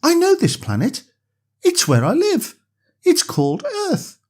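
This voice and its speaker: A narrator doing a high, curious little girl. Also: wildly swooping pitch, a little slow, darkish tone, exaggerated voice. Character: young girl, curious, timid but excited about space. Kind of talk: children's storybook character voice.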